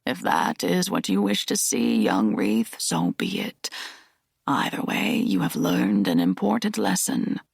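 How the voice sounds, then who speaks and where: A woman voicing an ancient male mentor who speaks in a hoarse, insistent whisper. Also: rumbling low, big pitch swings, unhurried, dry recording, clean studio sound, pitched below the performer's own voice, full character voice. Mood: hoarse intensity. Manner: insistent, commanding. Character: ancient male mentor, hoarse, stern, nearly two centuries old.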